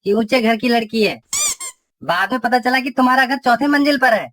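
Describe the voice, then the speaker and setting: A woman's voice: a little high, moderate pace, fairly bright tone, slight background noise, close to an everyday voice. A woman voicing a grumbling, flirtatious husband and father in a cartoon comedy. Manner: bickering at family, smooth-talking.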